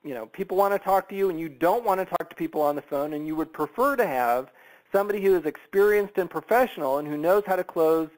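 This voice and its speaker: A man with a notably high voice delivers an enthusiastic sales presentation. He is emphatic and persuasive, yet keeps it conversational, checking in with rhetorical questions as he goes. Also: poor audio. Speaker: sales presenter on a business opportunity conference call.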